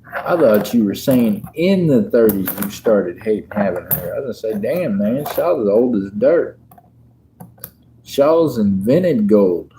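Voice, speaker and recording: A man's voice: lowish voice, expressive, a little slow, warm tone, a little hiss or hum, low-quality recording. A man, chatting and joking with viewers on a casual livestream. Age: 50s. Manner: laid-back, joking, chats with viewers. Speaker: livestream host. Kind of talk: casual livestream chat while sorting coins.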